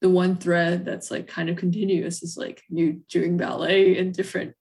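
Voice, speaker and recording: A young woman hosting a casual interview, talking in a halting, conversational way peppered with "like". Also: on the low side, flat delivery, a little mellow. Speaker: podcast host, interviewer.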